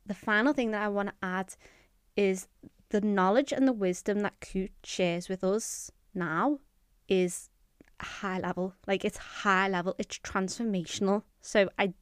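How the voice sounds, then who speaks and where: Smooth-voiced woman podcast host. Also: mid-range voice, measured pace, no echo, clean studio sound. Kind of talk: podcast intro and interview.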